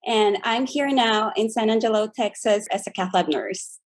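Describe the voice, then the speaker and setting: A woman from the Philippines, a nurse, introducing herself politely with halting restarts. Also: mid-range voice. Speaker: nurse, interview guest. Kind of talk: casual interview answers.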